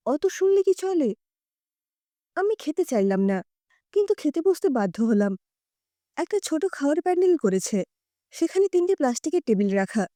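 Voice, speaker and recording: A woman narrating a story in an expressive first-person storytelling style. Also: high voice, no echo, studio quality.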